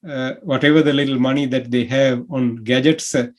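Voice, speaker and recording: Sri lankan-born doctor, lots of uhs, halting, mid pitch. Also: male voice, fairly even intonation. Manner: halting, with many uh fillers. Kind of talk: conversational interview.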